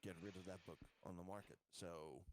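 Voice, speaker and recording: A man talking casually during a tabletop roleplaying game, halting as he searches for his words. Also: sits low, steady tone, darkish tone, dry recording. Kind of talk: casual improvised roleplay talk.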